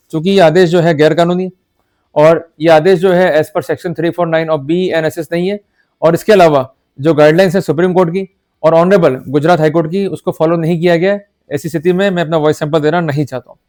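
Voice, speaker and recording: A man with a slightly high-pitched voice delivers an explanatory lecture as a legal educator. He is didactic, stopping to check understanding with short questions. Formal passages are read out slowly and deliberately. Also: fairly even intonation, a little mellow. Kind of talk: explanatory law lecture mixing Hindi and English.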